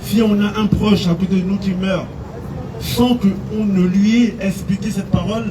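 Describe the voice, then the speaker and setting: A man's voice: high-pitched, fairly even intonation, normal speed, distant mic, background noise. A man from France giving an earnest outdoor sermon, mixing in Arabic phrases. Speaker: preacher. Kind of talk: street preaching to a crowd.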